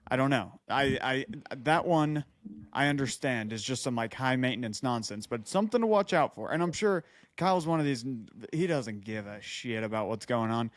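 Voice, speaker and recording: A man with a mid-pitched voice chats casually as a podcast co-host. He is jokey and blunt, like someone handing out advice. The sound is dry. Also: expressive, moderate pace, no room sound. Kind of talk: casual chat.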